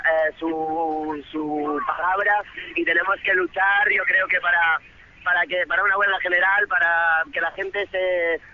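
A man from Barcelona speaking earnestly and haltingly in an unscripted live interview over a muffled phone line from a street. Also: high-pitched, a little hiss or hum. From Barcelona, Spain. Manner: earnest, halting with repeated words. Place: outdoors in a busy city square.

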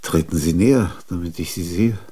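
An actor playing a weary, bitter old man who talks slowly and reflectively in a radio drama monologue, musing aloud about memory. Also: sits low, dark tone, husky. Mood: brooding. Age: older. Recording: piano music behind. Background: piano playing.

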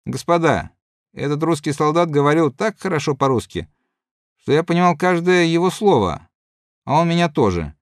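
Lively, dry-voiced reader doing a rambling, good-natured soldier spinning a yarn for his mates, read by a man. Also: fairly high pitch, normal speed, no room sound. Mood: chatty. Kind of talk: audiobook reading.

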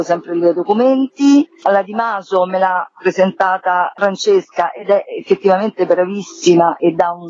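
A woman's voice: lowish voice, a little mellow, dry recording. A woman speaking casually as a theatre director in a radio interview, informally thinking aloud. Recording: dull lo-fi sound, dry.

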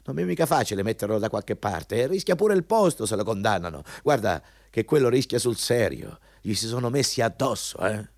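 An actor playing a cynical, vulgar, bullying company executive with a scornful, overbearing manner in a radio drama. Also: male voice, fairly low pitch, sing-song, fairly quick, few pauses, darkish tone, dry recording, clean studio sound. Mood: exasperated.

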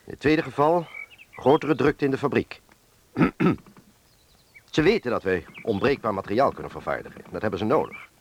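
An actor playing an earnest middle-aged factory director in a Dutch wartime radio drama, speaking in a measured, explanatory way. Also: male voice, medium pitch, lively intonation, natural voice. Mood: grave, urgent. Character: earnest male factory director defending collaboration in wartime Netherlands.

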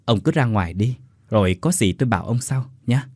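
A man voicing a frightened middle-aged mother who cries and pleads in a shrill voice for her son. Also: animated, brisk, darkish tone, dry recording, clean studio sound. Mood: worried.

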